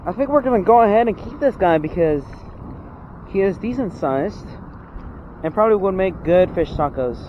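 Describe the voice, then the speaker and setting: A young man from Texas, with a slightly high voice, chatting upbeat commentary to his viewers while fishing from a rocky jetty. He exclaims over each catch as it comes in. The sound comes from a body-worn action camera, with loud wind blowing across the mic. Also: lively intonation, normal speed, dull audio. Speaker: fishing vlogger. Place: outdoors on a rocky jetty by open water. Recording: wind noise outdoors. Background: wind and water.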